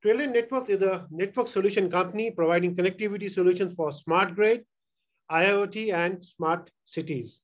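A man giving a keynote presentation over a video call, walking through his slides in a steady, explanatory way. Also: high-pitched, brisk, muffled recording. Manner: steady, explanatory slide walkthrough with occasional restarts. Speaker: keynote speaker, sales executive. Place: indoor room, on video call. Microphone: video call webcam mic.

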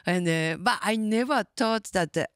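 A woman from Japan with a Japanese accent, a band member speaking in an interview, halting and searching for words with many fillers. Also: mid-range voice, clean tone, dry recording, clean studio sound. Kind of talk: casual interview chat.

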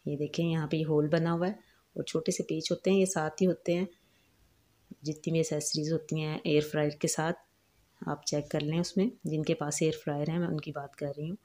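A woman talks through a recipe at home, one step at a time. Her voice is low and mellow, and her delivery stays calm and instructive. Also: a little fast. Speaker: cooking channel host. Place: home kitchen.

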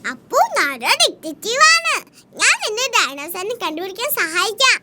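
A woman's voice: extremely high pitch, big pitch swings, normal speed, heavy background noise. A woman voicing an eager, bubbly little girl bee in a children's cartoon.

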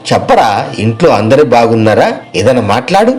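A man's voice: medium pitch, sing-song, fast, a little mellow, natural voice. Rich, insincere businessman friend for a moral tale, played by a man: chummy, boastful, full of showy sympathy. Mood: effusive, proud. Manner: effusive, familiar, with theatrical lamenting. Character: boastful, two-faced wealthy businessman friend, feigning sympathy. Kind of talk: moral story voice acting.

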